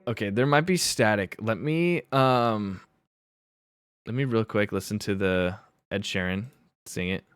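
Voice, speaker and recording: A man streaming live as a music producer, chatting casually and thinking aloud in halting bits with fillers while he works on a mix. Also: on the low side, fairly bright tone, clean tone, no room sound, clean studio sound.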